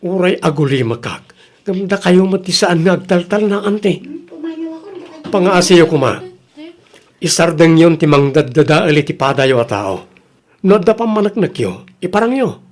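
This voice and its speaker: A man's voice: fairly high pitch, expressive, moderate pace, pauses often, darkish tone. A man playing a hardworking, upright young farmer grandson in a radio drama, speaking earnestly with an Ilocano accent. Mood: indignant, firm.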